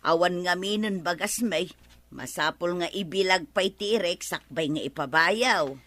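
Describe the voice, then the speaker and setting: An actress playing an easily miffed village neighbour in an Ilocano radio comedy drama, with expressive, drawn-out interjections. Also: female voice, lowish voice, no room sound. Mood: pleading. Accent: Filipino, Ilocano. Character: village neighbour woman borrowing a drying mat, easily miffed.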